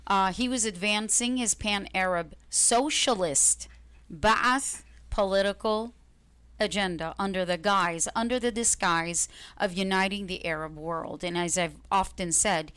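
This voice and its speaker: A woman from Iraq speaking as a radio panel guest, recounting history in a deliberate, serious manner. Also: medium pitch, fairly bright tone, clear, resonant tone.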